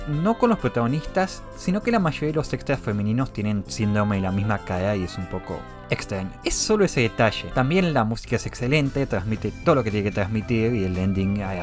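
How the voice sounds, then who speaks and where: A young Argentine man reads a scripted video essay in a lively, animated voice that swings widely in pitch. His speech impediment drops one sound. Faint music plays underneath. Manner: lively, expressive delivery with wide pitch swings.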